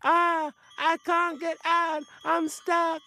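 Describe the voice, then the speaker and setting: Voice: very high-pitched, little pitch movement, slow, bright, forceful tone, slight background noise, pitched above the performer's own voice, full character voice. Squealing invisible forest spirit. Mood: whining. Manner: squealing, pleading, petulant threats. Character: high-pitched whiny forest spirit, invisible and easily trapped.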